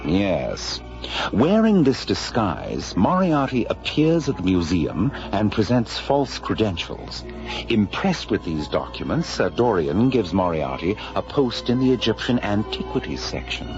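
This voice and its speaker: Very deep-voiced, theatrical detective. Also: male voice, sing-song. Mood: triumphant, deducing. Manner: deliberate, deductive, grandiose. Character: shrewd, theatrical male detective lecturing his colleague. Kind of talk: radio drama acting. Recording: dull radio recording, music behind.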